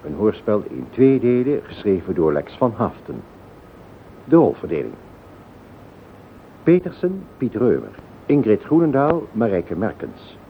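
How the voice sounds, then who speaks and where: Man, formal announcer, scripted credits, fairly low voice, measured, faintly noisy vintage radio sound. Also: big pitch swings. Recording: phone-like old radio recording, faint noise.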